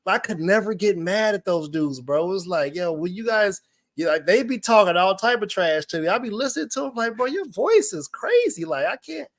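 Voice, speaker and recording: A man from Arkansas who grew up partly in Michigan, hosting a casual boxing podcast with lively, slangy storytelling. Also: high-pitched, a little fast, dry recording, studio quality.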